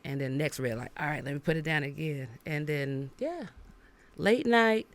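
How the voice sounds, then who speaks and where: A woman singer, a guest on a podcast, talking in a relaxed, chatty way and laughing easily. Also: sits low, normal speed. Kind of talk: casual interview chat.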